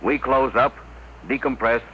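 An actor playing a decisive, methodical spaceship crew leader in a 1950s radio drama, giving crisp orders. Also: male voice, medium pitch, unhurried, phone-quality sound, natural voice. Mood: brisk instruction. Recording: faintly noisy old radio recording.

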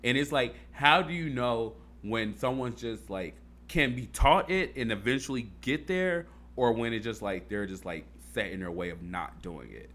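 A man's voice: medium pitch, lively intonation, normal speed, fairly bright tone. A man in casual chat, talking earnestly and rambling, with fillers and swearing.

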